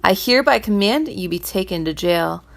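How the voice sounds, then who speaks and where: A woman voicing a kindly old king in a children's storybook reading, measured and stately. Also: mid-range voice, expressive, creaky. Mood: stern.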